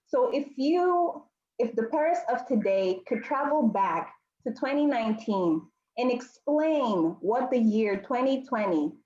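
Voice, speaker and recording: A woman's voice: on the high side, a little mellow. A woman from Mozambique hosting an online club meeting over a video call, giving friendly instructions with frequent ums. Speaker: meeting host. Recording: echoey, dull audio.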